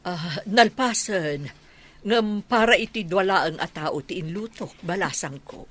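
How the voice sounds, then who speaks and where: A woman's voice: on the low side, expressive, a little slow, a bit bright. A woman playing a suspicious, grumbling village housekeeper in an Ilocano comedy radio drama, with an Ilocano accent.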